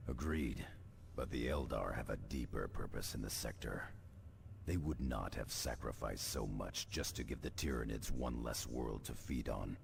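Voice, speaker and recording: An actor playing a grim, morbid veteran space marine sergeant in a video game, gravelly and solemn. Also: male voice, extremely low pitch, moderate pace, slight background noise, heavily acted. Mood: stern. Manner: solemn, fatalistic.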